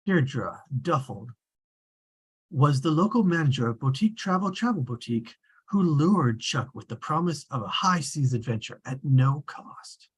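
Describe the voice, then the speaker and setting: A man's voice: on the high side, expressive, moderate pace, slightly rough. A man reading scripted, storybook-style narration at a table read.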